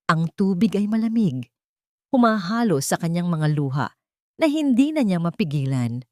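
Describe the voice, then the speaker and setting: A woman narrates a dramatic love story as a storyteller. Her voice sits a little low, and the recording is dry, with very little room sound. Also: a little mellow, dry recording.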